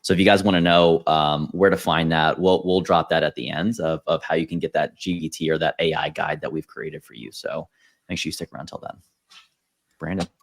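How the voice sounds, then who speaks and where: A man with a very deep voice hosts a podcast chat. He explains concepts steadily and hands the floor to others. His talk is casual and measured. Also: flat delivery. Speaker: podcast host.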